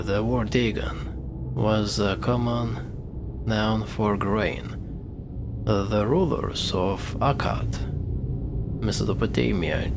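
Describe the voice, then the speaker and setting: A man reading informational notes aloud during a game playthrough, in a measured, deliberate way. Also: on the low side. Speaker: gaming video host.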